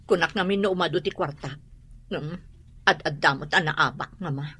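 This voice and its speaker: A woman's voice: low voice, moderate pace, sharp tone, husky, heavily acted. A woman playing a sullen, defensive grown daughter with a gambling habit in an Ilocano radio drama.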